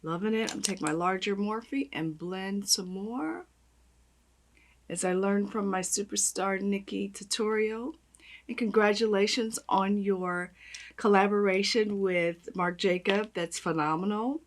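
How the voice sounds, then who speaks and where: A woman with a raspy voice, chatting and gushing as she does her makeup on camera. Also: mid-range voice, a little slow, a little hiss or hum. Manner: gushing, chatty, talks while applying makeup. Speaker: beauty vlogger. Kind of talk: makeup tutorial chat.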